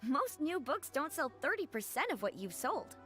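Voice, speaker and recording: A woman's voice: high voice, big pitch swings, moderate pace, fairly bright tone, soft background music. A woman voicing a polite, upbeat, businesslike female editor in a video game, courteous and professional. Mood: pleased.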